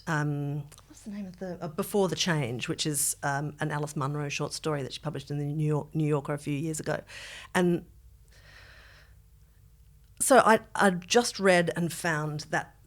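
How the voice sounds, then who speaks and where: Middle-aged woman, lowish voice, discursive podcast co-host full of qualifying asides. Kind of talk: serious conversational discussion.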